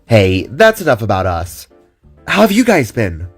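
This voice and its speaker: A man's voice: fairly low pitch, huge pitch swings, measured pace, breathy edge, soft background music, heavily acted. A man voicing a happy, caring young expectant mother chatting in a friendly way in an audio drama.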